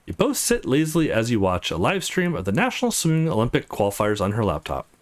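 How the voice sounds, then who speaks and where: A man's voice: medium pitch, wildly swooping pitch, fast talker. A man hosting a let's play, reading a visual novel aloud as scripted narration. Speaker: let's play channel host.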